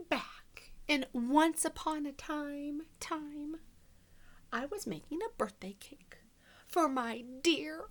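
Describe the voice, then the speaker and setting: A woman voicing an aggrieved male storybook wolf pleading his innocence, with exaggerated, drawn-out, emphatic delivery. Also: high voice, sharp tone, exaggerated voice. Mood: explaining, exasperated. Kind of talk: dramatic children's storybook read-aloud.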